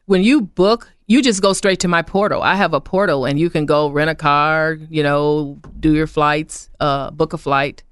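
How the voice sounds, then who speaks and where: A middle-aged woman from Chicago, a travel business owner talking as a guest in a casual interview, animated and repeating herself as she goes. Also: low-pitched, normal speed, hardly any pauses, clear, resonant tone. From Chicago, USA. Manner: animated, repeats words and restarts often, laughs easily.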